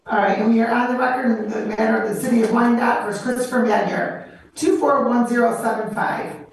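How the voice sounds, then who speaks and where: A woman's voice: a little high, steady tone. Firm woman judge, echoey and distant. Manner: firm, measured, stops to question. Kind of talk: court proceedings over video. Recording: echoey and distant with faint noise.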